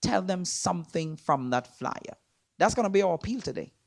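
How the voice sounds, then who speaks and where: A man from Trinidad with a Trinidadian accent, preaching in a church. He stresses words hard, repeats himself and swings between loud and soft. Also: high-pitched, expressive, normal speed, vocal fry, dry recording, studio quality. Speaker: guest preacher. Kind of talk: sermon. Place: church sanctuary.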